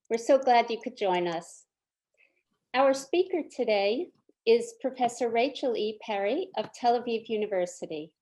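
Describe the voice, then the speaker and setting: A woman's voice: fairly high pitch, a little mellow. A woman reading a formal, polite introduction of a guest speaker at an educational event.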